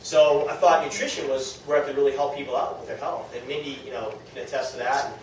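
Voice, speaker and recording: A man's voice: mid-range voice, fairly even intonation, fast. A man with a New England accent gives an informal motivational talk to a small group, conversational and self-deprecating, often checking in with his listeners. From New England, United States. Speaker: speaker at a direct-sales team meeting. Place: echoey indoor meeting room with an audience. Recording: very echoey room, distant mic, faint noise.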